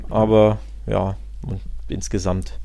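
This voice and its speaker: Creaky-voiced man talking football casually. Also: on the low side, level tone, takes it slowly, mellow, dry recording. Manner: colloquial, opinionated. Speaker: football podcast host. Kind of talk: casual football talk with live match commentary.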